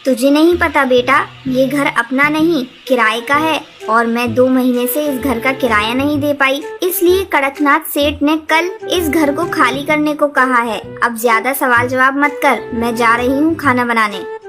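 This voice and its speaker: Woman doing naggy mama bird, high voice, kids cartoon with music under it. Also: a little slow. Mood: weary, firm. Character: worried, scolding mother bird, adult female. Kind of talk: children's cartoon story dialogue.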